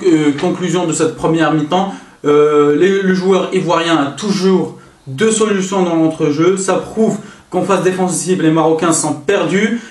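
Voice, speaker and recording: A man giving an informal, halting football match analysis on his own, often stopping to correct himself. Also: on the high side. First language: French-speaking, not an Arabic speaker. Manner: hesitant, halting, self-correcting delivery. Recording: roomy echo, distant microphone.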